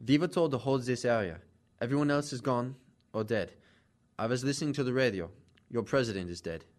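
Man acting a captured German soldier, medium pitch, weary, guarded, radio drama. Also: a little fast, lightly acted. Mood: resigned.

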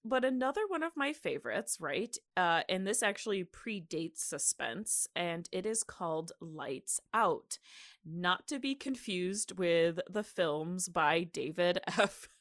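A woman, probably in her thirties, hosting a podcast in a casual, chatty, animated and giggly way. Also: fairly low pitch, takes it slowly, dry recording, studio quality. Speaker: podcast host.

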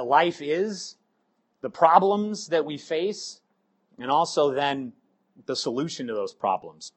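A middle-aged man with a cold, sounding hoarse, giving a halting sermon full of ums and uhs. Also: medium pitch. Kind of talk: sermon with scripture reading.

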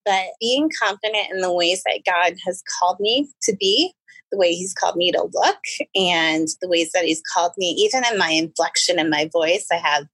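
A woman pastor answering interview questions in an earnest way, with a high-pitched voice. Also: moderate pace, a bit bright, slightly rough, no echo, low-quality recording.

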